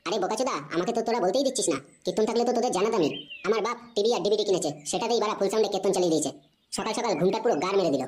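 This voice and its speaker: A woman's voice: mid-range voice, very fast, darkish tone, natural voice. A woman voicing a hot-tempered, foul-mouthed cartoon boy, brash and slangy, with a West Bengali accent. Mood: irritated. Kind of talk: comedy cartoon dialogue. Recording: clearly noisy background.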